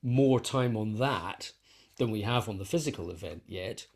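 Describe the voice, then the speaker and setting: A man with a fairly low voice talks in a reflective interview. He thinks aloud as he explains, often pausing and reshaping his sentences in a thoughtful, halting way. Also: gravelly, a little mellow. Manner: thoughtful, halting, self-correcting. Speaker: interviewed composer and musician.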